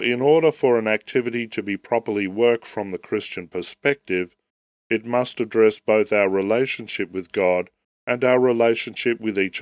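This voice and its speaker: A man with a head cold and a raspy, nasal voice, hosting a podcast and reading a prepared theology essay in a measured, formal way. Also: on the low side, no echo, studio quality. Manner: measured, formal, deliberate delivery. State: tail end of a head cold. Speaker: podcast host.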